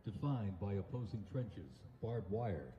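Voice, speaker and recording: A man's voice: lowish voice, muffled recording. A man giving scripted, formal historical narration in a documentary clip. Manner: measured, formal.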